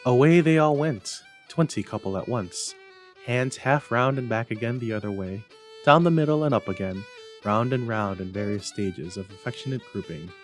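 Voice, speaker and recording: A man narrates a classic storybook tale in a mid-pitched voice. His reading is expressive and measured, with a dramatic touch, and music plays behind him. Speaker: narrator, library staff reader.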